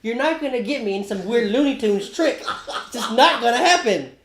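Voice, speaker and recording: Excitable man on an echoey podcast. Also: very high voice, normal speed. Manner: excitable, animated, swears casually. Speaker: podcast co-host. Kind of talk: casual podcast chat.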